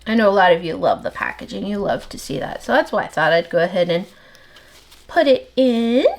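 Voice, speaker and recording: A woman's voice: medium pitch, lively intonation. Chatty, slightly nasal woman soap maker. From United States. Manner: rambling, talks fast without pausing for breath. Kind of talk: casual chat while working. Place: home soap workshop.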